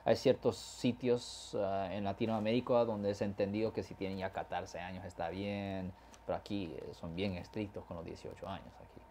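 Talkative California-born lawyer explaining law. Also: male voice, deep voice, little pitch movement, normal speed, warm tone, slight background noise. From California, USA. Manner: explains at length, mixes English words into Spanish. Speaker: criminal defense lawyer. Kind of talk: conversational legal explanation.

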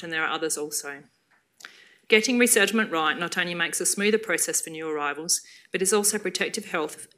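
A woman researcher giving a prepared lecture at a lectern in a university seminar room, with a formal, measured delivery. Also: fairly low pitch, sharp tone.

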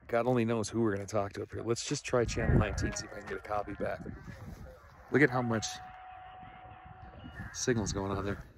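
A man's voice: lowish voice, steady tone, fairly quick, pauses often. A man doing a casual field test outdoors, talking in a blunt, grumbling way. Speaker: radio gear reviewer. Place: outdoors on a mountain top. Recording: noisy outdoor recording with radio interference.

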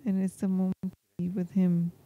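Female live sermon interpreter, very soft-edged dark tone, halting phrasing. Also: on the low side, flat delivery. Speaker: interpreter voicing a priest's homily in English. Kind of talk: live spoken translation of a sermon.

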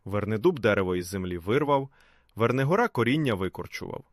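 A man narrating a fairy tale as a storyteller, in an expressive, scripted reading. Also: lowish voice, brisk, no room sound, clean studio sound.

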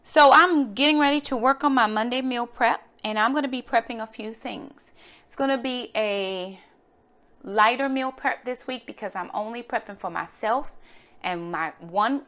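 A woman with a medium-pitched voice narrates a home cooking vlog. She talks in a casual, everyday way, addressing her viewers directly from her kitchen. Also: fairly bright tone.

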